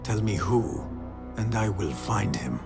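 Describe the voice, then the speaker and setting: A man acting as an earnest, guarded young assassin in a video game, with a measured, resolute delivery. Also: on the low side, sing-song, gravelly, loud music behind the voice, heavily acted.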